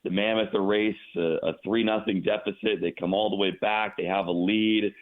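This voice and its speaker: Male sportscaster guest, lowish voice, halting and emphatic, thin phone-band audio. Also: little pitch movement, phone-quality sound. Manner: halting, repeats words for emphasis. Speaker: radio play-by-play announcer, guest. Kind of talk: casual sports radio interview commentary.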